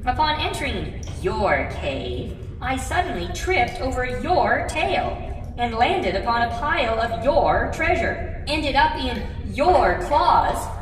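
A timid small creature pleads in a very high, swooping voice. He is flustered and apologetic, hesitating over his words. The audio drama recording rings with cave echo. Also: big pitch swings, slow pace, heavily acted. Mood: nervous pleading. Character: small, frightened, pleading male animal traveller. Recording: echoey cave reverb, distant, faint noise.

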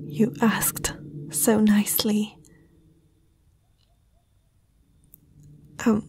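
Woman playing a slow, whispering young princess. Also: mid-range voice, a little mellow, slight background noise, natural voice. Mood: entranced, compliant. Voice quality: breathy whisper. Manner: slow, hesitant, dreamy delivery. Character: young princess, haughty yet yielding to a vampire's spell. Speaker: ASMR roleplay performer. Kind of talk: whispered ASMR roleplay.

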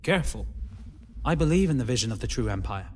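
A man's voice: medium pitch, big pitch swings, fast talker, a little hiss or hum, close to an everyday voice. A man playing an uneasy, doubting Imperial captain in an audio drama, deferential and wary. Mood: nervous, doubting. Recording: music under the voice.

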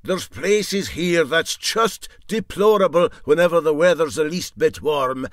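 A man voicing an indignant old Highland boat skipper telling tall tales emphatically and drolly in a Scottish accent. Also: measured pace, dry recording, clean studio sound, lightly acted. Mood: boastful.

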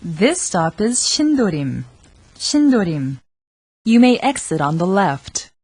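A woman reading scripted subway stop and transfer announcements, formal and deliberate. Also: mid-range voice, big pitch swings, darkish tone, husky, no room sound.

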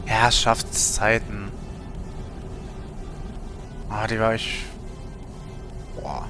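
A man's voice: lowish voice, completely flat delivery, extremely slow, over music. A man in his thirties from Germany, chatting casually on a gaming livestream in a rambling, colloquial way, thinking aloud. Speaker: streamer.

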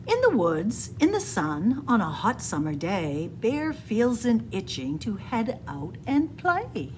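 A woman reading a picture book aloud in an animated, deliberate, sing-song storytelling style. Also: on the high side, a little hiss or hum. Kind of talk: expressive children's read-aloud storytelling. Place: indoors, room with bookshelves.

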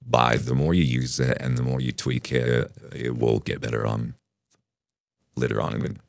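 A man's voice: extremely low pitch, flat delivery, a little slow, vocal fry, dry recording, clean studio sound, voice pushed down, heavily acted. A man speaking through an AI voice changer as a slightly robotic male internet personality, casually demonstrating it. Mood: even, explanatory. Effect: real-time AI voice changer, slightly robotic.

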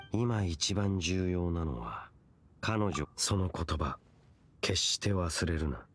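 An actor playing a stoic, brooding young knight in an anime-style fantasy game, speaking in a solemn, curt and measured way. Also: male voice, sits low, slight background noise. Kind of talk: Japanese anime game voice acting.

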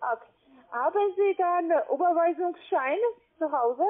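A call-centre saleswoman with a foreign accent and a very high voice. She speaks haltingly and keeps checking in with short questions. The sound is a narrow, muffled phone line. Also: animated. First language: not German.

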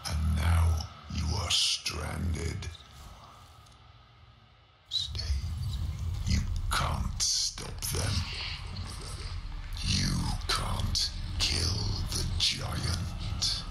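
A man playing a menacing, domineering shadow of a zealot in a video game, gravelly and preaching in an ominous, slow manner. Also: animated, pushed and bright, full character voice. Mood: cold, taunting. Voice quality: gravelly, very deep. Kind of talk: dramatic video game acting. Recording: echoing, noisy ambience.

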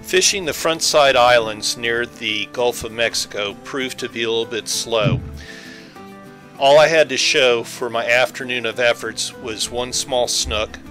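A man's voice: medium pitch, measured pace, pushed and bright, faint music behind. A man giving scripted voice-over narration as an angler recounting his fishing trip.

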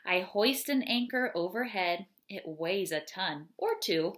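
A woman in her thirties reading children's poems aloud and chatting casually, in an unhurried, deliberate way. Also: medium pitch, lively intonation, a bit bright. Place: small home room, indoors. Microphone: webcam or laptop mic at desk distance.

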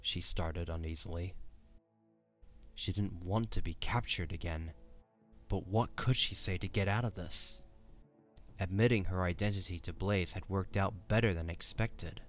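A man's voice: sits low, a little slow, a bit bright. A man narrating a scripted story for an audio drama. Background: music.

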